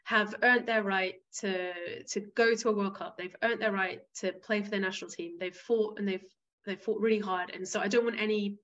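A woman's voice: mid-range voice, steady tone, normal speed, fairly bright tone, dull audio. A woman from the Isle of Wight, a football club chief executive speaking frankly as an interview guest and often restarting her sentences. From Isle of Wight, England.

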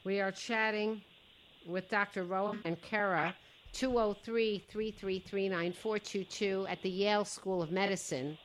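A woman's voice: medium pitch, fairly even intonation, unhurried, slight background noise, poor audio. A woman hosting a radio talk show, interviewing a guest in a chatty, affirming way.

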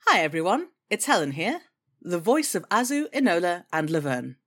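A woman's voice: fairly low pitch, big pitch swings, normal speed, fairly bright tone. A woman reading a scripted promotional announcement for an audio drama podcast.

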